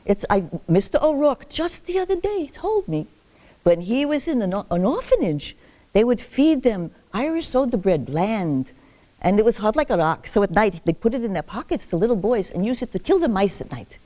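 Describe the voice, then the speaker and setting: A sharp-tongued old grandmother, played by an actress, scolding and joking in a stage monologue. Her voice is warm and mellow. Her pitch swings up and down. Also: sing-song, normal speed. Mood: wry. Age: older. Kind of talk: theatrical monologue.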